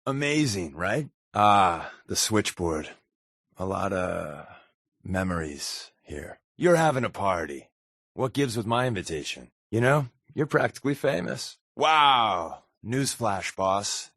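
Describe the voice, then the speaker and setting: A wisecracking game spy dude, lowish husky voice, super dry deadpan, close dry sound. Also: male voice, no echo, heavily acted. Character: wry, sarcastic male spy, a wisecracking companion. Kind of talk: video game companion dialogue.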